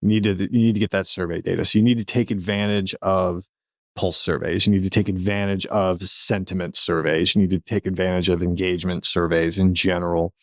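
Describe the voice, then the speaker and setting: A man co-hosts a podcast in a deep, low voice, with dry humor and emphatic repetition. The recording is close and dry. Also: flat delivery, fairly quick, no echo. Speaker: podcast co-host. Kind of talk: casual podcast chat.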